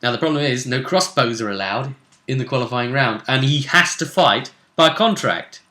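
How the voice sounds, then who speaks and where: Bright-voiced man in an echoey room. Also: mid-range voice, animated, moderate pace. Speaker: host. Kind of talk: casual chat recapping a tabletop role-play game. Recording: roomy, echoey room sound.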